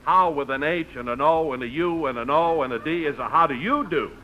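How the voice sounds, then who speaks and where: An actor playing an eager, stubborn young hopeful for a radio announcing job, in 1950s radio comedy style. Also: male voice, fairly high pitch, expressive, brisk, pushed and bright, lo-fi sound. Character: eager, plain-spoken male would-be radio announcer. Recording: noisy old radio recording.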